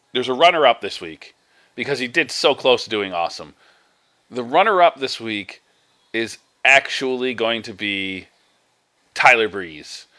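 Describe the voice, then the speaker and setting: A man co-hosting a wrestling podcast, talking casually and in a rambling, animated way with many restarts. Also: medium pitch. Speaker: podcast co-host.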